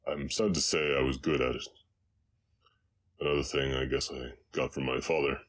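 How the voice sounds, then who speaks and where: A man playing a gentle, reserved orc ranch hand in an ASMR audio roleplay, with a Southern US accent and a halting, reflective delivery. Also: extremely low pitch, fairly even intonation. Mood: subdued, sad. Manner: halting, softly reflective, hesitant.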